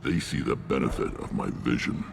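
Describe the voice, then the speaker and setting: Very gravelly alien warlord chief. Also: male voice, expressive, noisy background, full character voice. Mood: proud, commanding. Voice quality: very deep, gravelly. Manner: blunt, measured, authoritative. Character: gruff battle-worn alien warlord clan chief, commanding and wry. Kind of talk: video game character acting.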